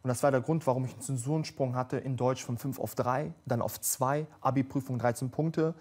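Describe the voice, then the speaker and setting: A man's voice: mid-range voice, husky. A young man telling his story as a guest on a TV sofa interview, speaking eagerly into a lapel mic. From Germany. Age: young adult. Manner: fast, eager storytelling with animated hand gestures. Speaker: politician guest. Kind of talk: conversational TV interview. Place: TV studio sofa set.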